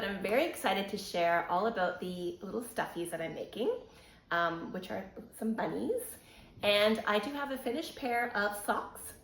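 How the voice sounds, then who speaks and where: Middle-aged Canadian woman, casual podcast host, mid pitch, easy laughs, echoey room. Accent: Canadian English. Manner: chatty, laughs often, many ums. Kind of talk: casual chat. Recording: roomy echo.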